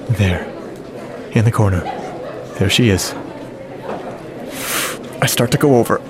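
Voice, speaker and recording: An actor playing a lovestruck, self-doubting audio geek, narrating his romance in short, confiding phrases for a radio drama. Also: mid-range voice.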